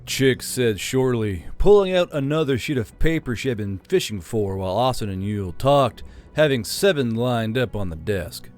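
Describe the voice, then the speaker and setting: A man narrating an audiobook in an even, measured storytelling manner, over soft music. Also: medium pitch.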